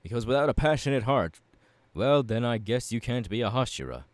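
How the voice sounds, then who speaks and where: A man's voice: medium pitch, expressive, brisk, dry recording, lightly acted. A man playing a passionate, courteous young warrior mentor in an ASMR audio roleplay, speaking in an earnest, formal and encouraging way.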